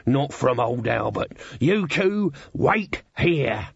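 A man's voice: medium pitch, sing-song, slow, gravelly, slightly rough, dry recording, full character voice. Old male manservant, acted by a man reading an audiobook: indignant, muttering, colloquial, exasperated. Lo-fi, dry sound. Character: grumpy old manservant, indignant and put-upon.

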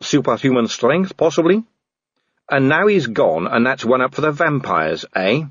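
A man's voice: medium pitch, expressive, normal speed, breathy edge, no room sound, highs cut off, pitched above the performer's own voice. A man voicing a grim, weary male city watch commander who talks in a bitter growl. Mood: angry.

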